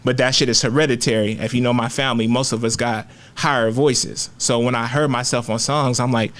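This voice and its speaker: A man's voice: medium pitch, steady tone, fairly quick, a bit bright. A man, talking candidly and with plenty of profanity and slang as a rapper guest in a casual interview. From Oakland, California.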